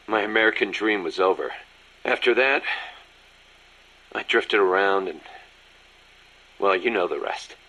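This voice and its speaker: World-weary military right-hand man: lowish voice, earnest, reflective, game-drama storytelling. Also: bright, forceful tone, breathy edge, full character voice, rough recording. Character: proud, world-weary young male ex-soldier, loyal second-in-command. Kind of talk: video game audio drama acting.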